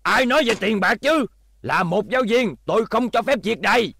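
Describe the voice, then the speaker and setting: Stern older cartoon teacher, scolding, high, animated pitch. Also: male voice, normal speed, creaky, husky, no echo, clean studio sound, exaggerated voice. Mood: indignant. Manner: emphatic, scolding. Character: stern, hot-tempered, protective older male teacher. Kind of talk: dubbed cartoon acting.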